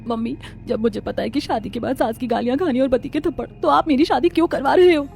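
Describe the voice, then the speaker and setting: Woman playing an anxious young bride, fairly high-voiced. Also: fast, gravelly, a little mellow. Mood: plaintive. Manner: worried, plaintive. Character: anxious young bride dreading married life. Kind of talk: cartoon story voice acting. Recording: background music under voice.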